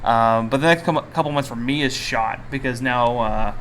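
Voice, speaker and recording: A man with a bright, forward voice hosts a casual podcast chat. His pitch sits in the middle of the male range and his wording is loose and informal. There is faint noise behind him. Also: normal speed. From Canada. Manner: informal, mildly profane. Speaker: podcast host.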